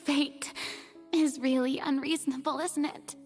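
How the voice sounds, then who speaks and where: High-voiced, theatrical young goddess. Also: female voice, fairly even intonation, darkish tone, long reverb tail, faint music behind. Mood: weary, resolute. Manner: theatrical, haughty, dramatic pauses. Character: proud theatrical young female god of justice, secretly burdened. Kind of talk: video game voice acting.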